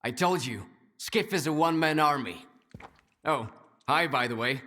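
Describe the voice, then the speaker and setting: A man's voice: fairly high pitch, lively intonation, normal speed, sharp tone. Breezy, wry male scout. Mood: teasing. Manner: breezy, joking. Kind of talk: video game dramatic acting.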